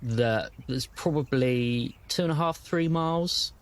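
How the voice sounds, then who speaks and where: Man, British accent, game master, medium pitch, improvised narration, easygoing conversational delivery. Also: measured pace.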